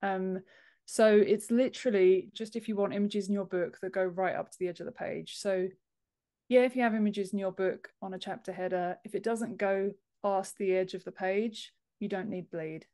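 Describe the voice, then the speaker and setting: Casting: woman, thirties, mid-pitched mellow voice, podcast guest explaining on a video call. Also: fairly even intonation, normal speed. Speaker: podcast guest, book formatter. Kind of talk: explanatory interview answers. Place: home office, indoors, on a video call. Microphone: wired earphones on a video call.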